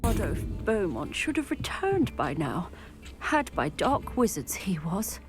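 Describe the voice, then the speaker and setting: A woman's voice: medium pitch, big pitch swings, measured pace, music in the background. An actress playing a kindly old widowed village witch in a video game, with a fond, mournful manner. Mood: worried. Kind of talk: video game cutscene dialogue.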